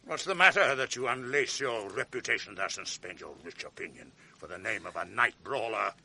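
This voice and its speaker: An actor playing a proud, commanding general quick to rage in a radio drama, speaking in a declamatory way. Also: male voice, lowish voice, expressive, vocal fry, pushed and bright, breathy edge, heavily acted. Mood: stern. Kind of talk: 1980s radio drama acting.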